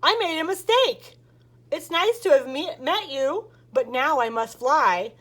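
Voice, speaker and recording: A mean, scary male dragon from a children's storybook, acted out by a woman reader. The voice is high for such a beast and moves at a very slow pace. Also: lively intonation, a bit bright. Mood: apologetic.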